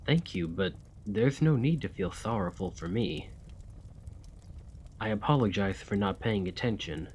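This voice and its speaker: A man acts out a weary, gallant vampire gentleman from an audio roleplay, centuries old and desperate for a cure. His voice sits fairly low and moves very slowly. He phrases everything with formal, old-world politeness. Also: expressive, a little hiss or hum. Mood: confessional, sorrowful. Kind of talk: scripted ASMR roleplay acting.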